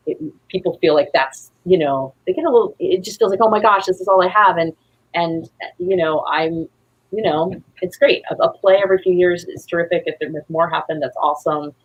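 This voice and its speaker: Woman guest w/ deep kinda raspy voice, gushing and wandering thru a casual chat. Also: a little fast, dull audio. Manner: effusive, rambling, acts out quotes. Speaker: guest, playwright.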